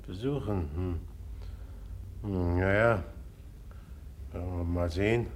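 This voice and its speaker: An actor in a German radio play, playing a brooding, volatile man who speaks haltingly and guardedly, repeating his words. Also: deep voice, slight background noise. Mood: guarded, irritable.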